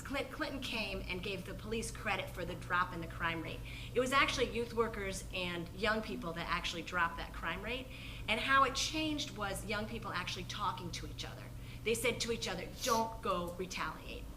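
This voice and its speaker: A woman who works with young people, answering at a panel in an earnest, conversational way. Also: medium pitch. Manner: earnest, conversational, many restarts. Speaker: youth worker. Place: lecture room. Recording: echoey room with background noise.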